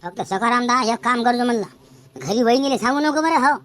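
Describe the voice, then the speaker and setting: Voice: mid-range voice, expressive, fast, a bit bright, close to an everyday voice. A performer voicing a fretful, distrustful cartoon villager who keeps questioning, with a rural Varhadi Marathi accent. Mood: cautioning. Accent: rural Maharashtra, Varhadi Marathi. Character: anxious, cautious villager in a comic cartoon. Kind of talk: comic cartoon dialogue.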